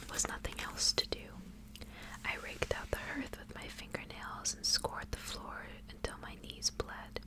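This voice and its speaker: A woman reads a story in an ASMR style. Her voice stays in a hushed whisper, with no full voicing. The delivery is that of a literary tale read aloud for listeners. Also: poor audio. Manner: hushed, unvoiced whispering throughout. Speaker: ASMR reader.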